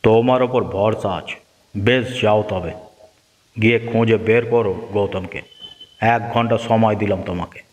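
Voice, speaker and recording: Village master male actor: low, authoritative, shrewd cartoon judge; big reverb. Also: fairly even intonation, normal speed, lightly acted. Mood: measured authority. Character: commanding, shrewd village master who dispenses justice. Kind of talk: Bengali cartoon voice acting. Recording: long hall reverb, music underneath.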